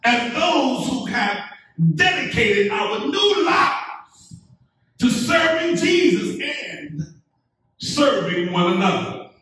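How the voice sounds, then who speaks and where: A middle-aged man preaching a sermon as pastor, in measured phrases that build up to emphatic calls answered by the congregation. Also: extremely high pitch, big pitch swings, sharp tone. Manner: measured preaching with call-and-response and rising emphatic build-ups. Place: church sanctuary, indoors, medium-sized. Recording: echoey church sanctuary from a distance.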